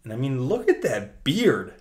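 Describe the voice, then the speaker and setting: A young man hosting a casual video, talking through his screen in a chatty, jokey way with big swings in loudness. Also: medium pitch, huge pitch swings, moderate pace. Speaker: crypto video host. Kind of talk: casual screen-share commentary.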